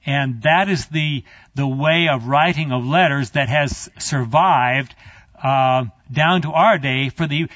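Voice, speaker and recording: A man's voice: a little high, fairly bright tone, no room sound. Man giving a chatty, digressive Bible lecture. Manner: conversational, digressive, with asides to the class. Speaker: Bible teacher. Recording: dry, slightly muffled recording.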